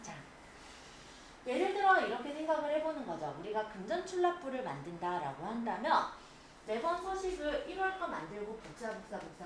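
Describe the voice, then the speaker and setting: A woman instructor giving an informal step-by-step software tutorial, explaining things and often checking that learners follow. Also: a little high, noisy background. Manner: explanatory, often checks understanding with tag questions. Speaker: computer skills instructor.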